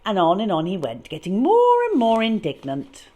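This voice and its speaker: A woman reads a children's story aloud with a very animated, medium-pitched voice. She is dramatic and expressive, stressing and stretching key words. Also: moderate pace.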